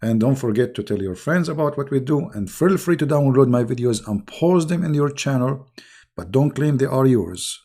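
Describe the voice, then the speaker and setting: Hoarse, gravelly man mocking his opponents. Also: medium pitch, lively intonation, normal speed. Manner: mocking, scornful, with sudden loud rises. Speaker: YouTube channel host. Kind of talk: casual polemical monologue to viewers.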